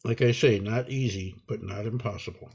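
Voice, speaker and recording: Man with a slightly husky voice, tutorial narration. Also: lowish voice, darkish tone. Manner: unhurried, halting, talking through each step while working. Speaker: instructor demonstrating a repair. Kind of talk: hands-on tutorial narration, improvised.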